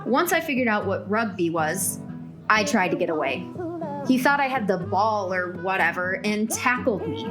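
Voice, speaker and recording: A woman's voice: medium pitch, animated, moderate pace, music in the background, close to an everyday voice. A woman playing a frazzled, self-mocking single woman in a radio play, speaking with wry exasperation. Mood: exasperated.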